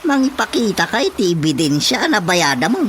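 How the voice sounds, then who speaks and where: Flustered Ilocano village councilor. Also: male voice, high voice, sing-song, normal speed, a bit bright, a little hiss or hum. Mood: insistent. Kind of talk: Ilocano radio drama comedy acting.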